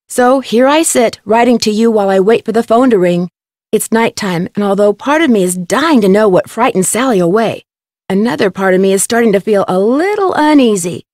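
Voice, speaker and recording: A young American woman playing a curious, polite, plucky amateur detective in an adventure game, speaking in a clear, earnest way. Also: mid-range voice, a little mellow, no room sound, clean studio sound, lightly acted. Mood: confiding, narrating. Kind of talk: scripted adventure game voice acting.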